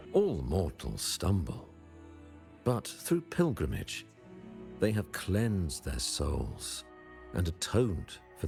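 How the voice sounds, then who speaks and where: A solemn, pompous high priest from a video game. He speaks slowly and formally, with measured reverence, in a very deep gravelly register. Faint music plays behind him. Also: a little mellow, heavily acted. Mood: stately, gracious. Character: solemn, commanding high priest of a religious order. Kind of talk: video game voice acting, formal ceremonial speech.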